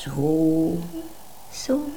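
A gentle, protective mama in a children's radio play. She speaks slowly and soothingly in a hushed, often whispery voice with lively melody, over clear background noise. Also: sits low, heavily acted, poor audio. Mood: tender. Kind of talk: children's radio drama acting.

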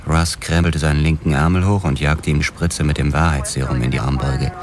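A man's voice: rumbling low, fairly even intonation, a little fast, crowd noise. An actor playing a tough, grim cop who narrates his own story tersely in a noir radio drama. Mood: grim, matter-of-fact. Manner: terse, matter-of-fact.

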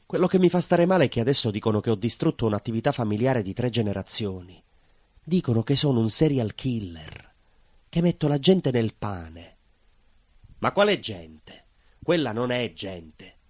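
An actor playing a bitter, vengeful baker in an intimate theatrical monologue, brooding and dramatic. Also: male voice, mid-range voice, very animated, darkish tone, no echo, clean studio sound. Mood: indignant, bitter. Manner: brooding, dramatic pauses and repetitions. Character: bitter southern Italian baker confessing to vengeful murder.

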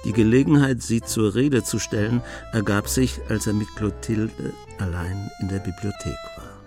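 A man narrating a scripted radio drama in a measured storytelling manner. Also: fairly low pitch, fairly even intonation, dark tone, faint music behind.

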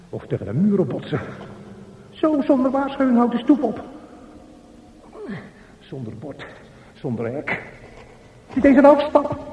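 An actor playing a brooding, observant train passenger in a halting radio drama monologue. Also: male voice, fairly high pitch, vocal fry, heavily acted. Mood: agitated musing. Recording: muffled, echoey, noisy radio drama recording.

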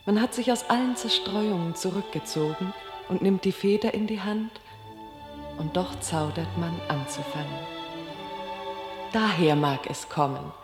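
A woman playing a solemn voice in a radio play, reciting classical reflections in a measured, formal way. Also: lowish voice. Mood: solemn, reflective. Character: solemn female voice reading a classical author's reflections. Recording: long echoing reverb, music behind. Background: music.

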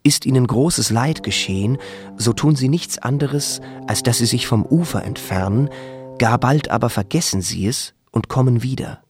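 A man reading a scripted literary narration deliberately, in short separated phrases. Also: medium pitch, over music.

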